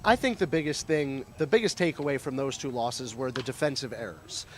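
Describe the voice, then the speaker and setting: A man's voice: medium pitch, a little fast, a little hiss or hum. A man giving conversational, analytical baseball commentary for a radio broadcast, explaining the game as a color commentator.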